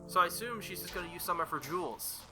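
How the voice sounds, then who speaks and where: A man hosting a visual novel playthrough, reading aloud and breaking in with excitable, joking asides. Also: high voice, fast, music in the background. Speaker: let's-play host.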